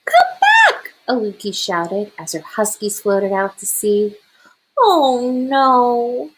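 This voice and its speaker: A woman reading a children's picture book aloud as a storyteller, expressive and deliberate. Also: mid-range voice, breathy edge, poor audio.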